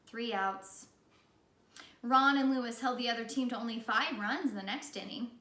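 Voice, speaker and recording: High, bright-voiced woman reading aloud. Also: a little slow, recorded from a distance. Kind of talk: animated children's story read-aloud. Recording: echoey room.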